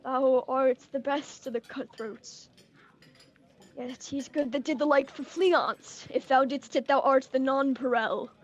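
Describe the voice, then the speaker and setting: A young woman acting a brooding, tormented, murder-plotting king in Shakespearean verse, moving between hushed and declamatory delivery. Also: high-pitched, fairly even intonation, measured pace, highs cut off. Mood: anxious, tormented. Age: young adult.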